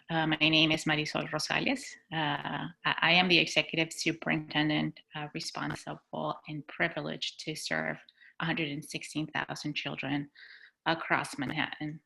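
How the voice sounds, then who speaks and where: A woman from Chile speaks in a low, gravelly voice with a bright, forceful edge. She is a school superintendent, talking earnestly and reflectively about her own life over a video call. Her delivery is measured. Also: low-quality recording. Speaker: executive superintendent. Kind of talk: personal speech at a community board meeting.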